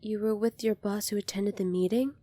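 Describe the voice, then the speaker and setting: Soft-spoken gentle alien princess, acted by a woman, dry and mellow. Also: mid-range voice, steady tone, a little fast, dry recording, close to an everyday voice. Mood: concerned. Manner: soft-spoken, polite, earnest, apologetic. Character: gentle, earnest young alien princess, kind and unsure. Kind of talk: ASMR roleplay speaking to the listener.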